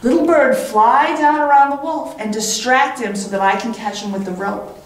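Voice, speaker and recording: A woman's voice: a little high, moderate pace, distant mic, pitched above the performer's own voice, lightly acted. A woman voicing an eager, brave young boy with urgent exclamations, in a children's storybook reading. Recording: very echoey room.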